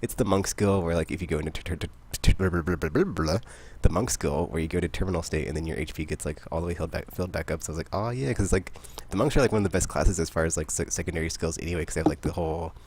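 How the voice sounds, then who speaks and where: A man hosting a podcast, chatting about games in a rambling, excitable way. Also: sits low, racing, slight background noise. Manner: rambling, excitable, laughs often. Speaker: podcast host. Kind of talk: casual gaming chat.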